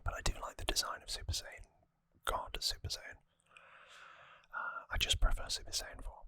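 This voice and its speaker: Asmr host whispering right up on the mic, crisp hissy bright sound, casually explaining stuff. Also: normal speed, no room sound. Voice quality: breathy unvoiced whisper. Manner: soft-spoken, informal, explanatory. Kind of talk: ASMR whispered story recap. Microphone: close microphone, dry.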